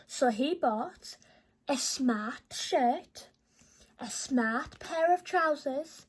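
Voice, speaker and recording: A woman reading a children's storybook aloud in an expressive narrating style. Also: high-pitched.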